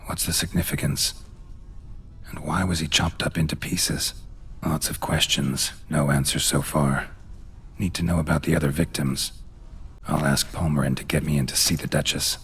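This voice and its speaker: A man playing a stoic, world-weary monster hunter in a video game, gravelly and hushed, with a terse deadpan delivery. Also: extremely low pitch, normal speed, full character voice. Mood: brooding.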